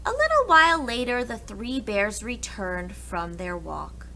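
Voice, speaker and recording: A woman reads a picture-book tale to young children in a highly expressive, bright voice. Her phrasing is slow, dramatic and deliberate, over faint background noise. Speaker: preschool read-aloud storyteller. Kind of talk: expressive children's story narration.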